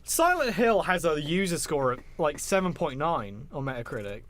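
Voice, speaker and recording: A man with a slightly high-pitched voice co-hosts a casual film discussion. He speaks as an emphatic, opinionated fan and swears freely. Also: big pitch swings, normal speed, fairly bright tone. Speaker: podcast co-host.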